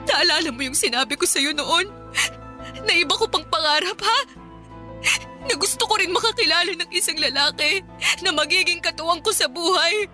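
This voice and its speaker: A Filipino actress playing an embittered, worn-out live-in partner in a radio drama, reproachful, tearful and emphatic. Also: female voice, extremely high pitch, moderate pace, sharp tone, full character voice. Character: embittered, exhausted live-in partner and young mother leaving. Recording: music bed under the voice.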